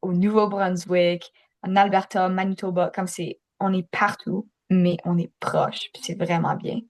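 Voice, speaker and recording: Une jeune femme à l'canadien-français qui parle de manière décontractée, avec des genre et des comme. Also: female voice, fairly low pitch, a little slow, vocal fry. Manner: relaxed, uses fillers like genre and comme. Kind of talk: casual chat.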